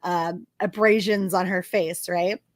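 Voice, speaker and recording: A woman on a livestream panel, explaining things casually in an animated, emphatic and informal way. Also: lowish voice, slow, dry recording, studio quality. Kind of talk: casual commentary, explaining to an audience.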